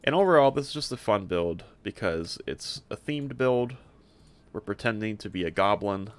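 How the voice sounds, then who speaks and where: A man's voice: on the low side, expressive, normal speed. A man giving casual gameplay commentary as a streamer, offhand and a little hesitant, thinking aloud as he goes. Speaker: streamer showing a game character build.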